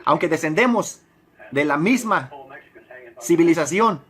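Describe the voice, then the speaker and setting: A man giving measured, explanatory commentary and repeating phrases for emphasis. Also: fairly high pitch, animated, a bit bright. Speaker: commentator in a played video.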